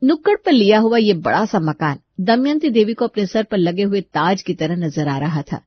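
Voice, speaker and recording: A woman's voice: mid-range voice, expressive, moderate pace, no room sound, muffled recording. A woman reading a scripted story as its narrator.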